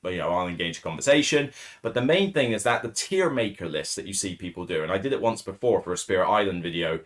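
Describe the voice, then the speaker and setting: A man with a raspy, hoarse voice, hosting a solo podcast in casual, rambling talk full of fillers and self-corrections. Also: low-pitched. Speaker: podcast host. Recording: distorted, too-loud mic.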